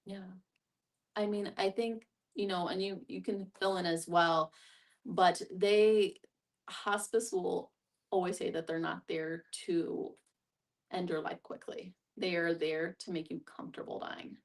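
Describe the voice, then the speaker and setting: A woman's voice: lowish voice, steady tone, a little slow. A woman speaking as a discussion facilitator, giving thoughtful, explanatory answers in a conversational way. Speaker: guest facilitator, hospice social worker. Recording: distant mic.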